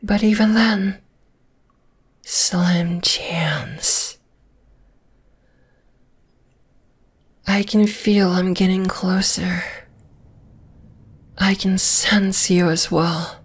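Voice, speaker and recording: A woman playing a dominating, flesh-eating spider monster in an ASMR roleplay, whispering in a breathy, teasing, menacing way. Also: mid-range voice, fairly even intonation, drawn-out words, long pauses. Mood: taunting. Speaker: voice actor.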